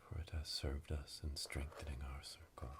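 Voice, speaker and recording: A man playing a solemn witch leading an initiation in a hushed, breathy ASMR whisper, ceremonial and monotone, close to the mic. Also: extremely low pitch, normal speed. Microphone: close binaural microphone.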